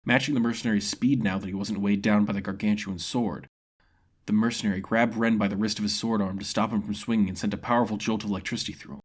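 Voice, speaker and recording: A man's voice: on the low side, steady tone, fast talker, slightly rough. A man reading scripted fantasy narration as a storyteller.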